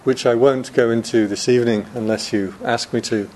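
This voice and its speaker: A man's voice: mid-range voice, fairly even intonation, normal speed, a little mellow, a little hiss or hum. A man, a Buddhist monk, giving a chatty Dharma talk to a room of students, joking and laughing often.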